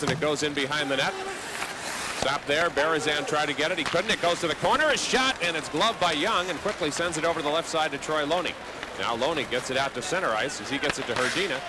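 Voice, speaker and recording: A man doing live play-by-play hockey commentary, describing the action in a fluent running call. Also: fairly high pitch. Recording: loud arena noise behind. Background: loud hockey arena ambience.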